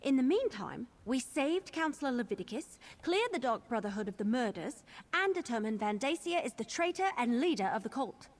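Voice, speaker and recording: An actress playing a determined young adventurer in a video game, speaking in an earnest, emphatic, fiery way. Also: female voice, a little high, big pitch swings, a little fast. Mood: resolute, friendly. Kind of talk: video game character dialogue.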